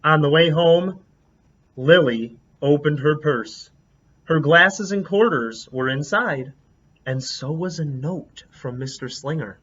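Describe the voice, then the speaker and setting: A man's voice: on the high side, slow pace, husky. Man reading a picture book aloud. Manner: expressive, asks listeners questions. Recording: dull lo-fi recording.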